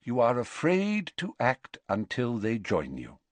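A man's voice: medium pitch, unhurried, no echo. Dumbledore, as a man reads him: mild old wizard. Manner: mild, soft-spoken and calm. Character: wise, gentle very old male wizard headmaster.

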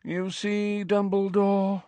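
A man's voice: high voice, flat delivery, slow pace, a little mellow, no echo, pitched above the performer's own voice. A man voicing a sly, mocking male portrait of an old headmaster.

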